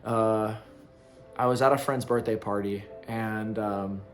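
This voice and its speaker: A man's voice: fairly low pitch, fairly even intonation, slow pace. Man, 30s, vlogger, casual reflective monologue, rambling delivery, faint background music. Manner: rambling, loose, full of ums and likes. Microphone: camera in front of him.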